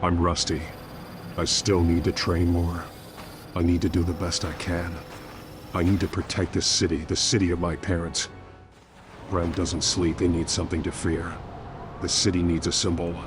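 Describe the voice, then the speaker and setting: Guy doing a grim determined vigilante for a game, deep flat voice, terse, noisy rumble behind. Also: moderate pace, pauses often, natural voice. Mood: grim resolve. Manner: terse, solemn monologue. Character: brooding masked male vigilante, grim and determined. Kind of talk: cinematic video game voice-over.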